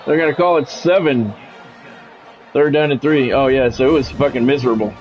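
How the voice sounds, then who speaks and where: Middle aged american dude streaming, grumbly and sweary, chats then does football play by play, medium pitch. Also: male voice, a little fast, a little mellow, soft background music, rough recording. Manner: blunt, profane, grumbling. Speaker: game streamer and sports play-by-play announcer. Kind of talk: casual livestream chat mixed with football play-by-play commentary.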